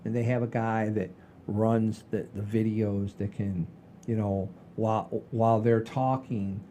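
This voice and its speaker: An older man hosting a casual podcast, rambling in a folksy, halting way with repeats and the odd mild swear. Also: fairly low pitch, steady tone, mellow, a little hiss or hum. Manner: folksy, mildly profane, halting and repetitive. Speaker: podcast host.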